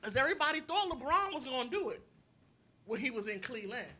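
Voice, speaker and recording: A woman hosting a podcast with lively, slangy, playful banter, often repeating phrases for emphasis. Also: sits high, fast. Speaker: podcast host.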